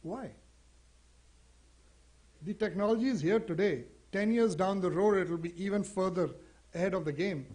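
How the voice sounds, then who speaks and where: A man with a high-pitched voice and an Indian English accent speaks at a seminar. He talks in a loose, conversational way and keeps throwing rhetorical questions at the audience. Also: a little mellow. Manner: conversational, poses rhetorical questions, says "you know". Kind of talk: conference talk.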